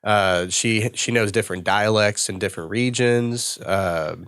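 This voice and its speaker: A Texan man with a dry-sounding voice, sitting low in his register. He tells stories in a loose, rambling way, like a comedian guest on a podcast. The sound is close and dry. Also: unhurried, fairly bright tone, clean tone, dry recording. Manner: loose, rambling, laughing storyteller. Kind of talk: casual podcast chat.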